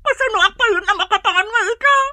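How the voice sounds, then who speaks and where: Casting: nagging, gossipy rural housewife for radio comedy; very high, piercingly bright voice, indignant and whiny. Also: female voice, fast talker, no room sound, clean studio sound, heavily acted. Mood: wailing, upset. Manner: animated, complaining, exclamatory. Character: gossip-loving Ilocano village wife, indignant and whiny. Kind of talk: comedy radio drama acting.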